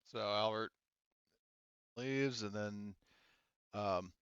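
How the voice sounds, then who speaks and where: A man narrating a tabletop roleplaying game as game master, in a halting, conversational and casual way. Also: on the low side, a bit bright, clean tone, dry recording, lo-fi sound.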